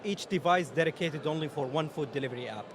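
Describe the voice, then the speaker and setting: A man from Saudi Arabia talks casually as a company representative in an interview. His voice sits slightly high, with loud exhibition hall noise around him. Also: quick pace. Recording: loud trade show floor noise.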